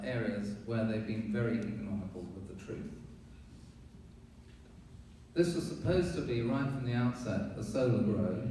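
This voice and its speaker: Dark-voiced man in an echoey hall. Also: medium pitch. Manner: pointed, accusatory, halting with fillers. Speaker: resident giving public comment. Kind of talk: public comment at a town board hearing. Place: town meeting hall. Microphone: room mic from a distance. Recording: echoey hall, distant mic, faint hiss.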